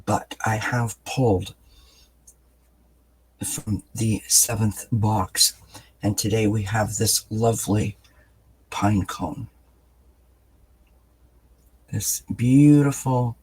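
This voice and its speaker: Male tarot reader, low husky register, raspy from just waking; soft-spoken, unhurried, filler-heavy delivery. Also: poor audio. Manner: soft-spoken, relaxed, meandering with many fillers. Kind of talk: gentle daily tarot reading. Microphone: camera mic, above-view camera.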